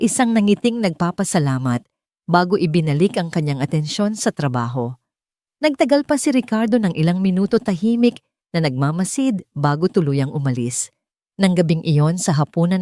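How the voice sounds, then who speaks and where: A woman's voice: lowish voice, sing-song, moderate pace, dark tone, no echo, clean studio sound. A woman reading a scripted dramatic story as its narrator.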